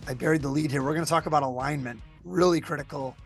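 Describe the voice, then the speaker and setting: A man's voice: on the high side, quick pace, creaky, soft background music. A male podcast host with a scratchy voice from a cold, chatting casually and upbeat with many fillers. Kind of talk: conversational podcast interview.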